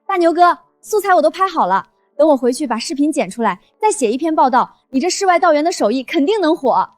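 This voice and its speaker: An actress playing an outspoken, practical young sister-in-law from the city, with an expressive, persuasive manner. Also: female voice, high voice, quick pace, no room sound, faint music behind. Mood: brisk. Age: young adult. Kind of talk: scripted drama acting.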